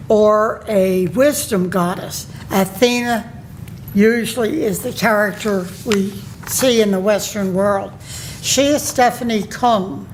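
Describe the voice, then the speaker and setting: Slow, measured woman presenting a paper. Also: a little high, slight background noise, poor audio. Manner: measured, with pauses and fillers. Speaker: conference presenter. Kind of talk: academic talk reading from a paper. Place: conference room.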